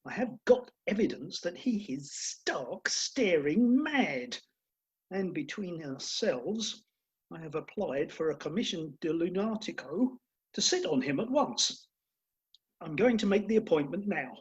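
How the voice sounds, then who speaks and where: A woman's voice: lowish voice, lively intonation, a little slow, low-quality recording. A woman playing a determined, drily sympathetic schemer in a comic Victorian farce, with dry understatement. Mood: drily reassuring. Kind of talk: comic stage farce acting.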